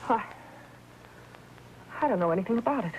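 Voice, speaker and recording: An actress playing an evasive wife under suspicion in a 1950s TV crime drama, haughty and defensive. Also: female voice, a little high, lively intonation, brisk, darkish tone, muffled recording, lightly acted. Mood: shocked. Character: indignant, evasive wealthy wife suspected of murder. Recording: noisy 1950s TV soundtrack.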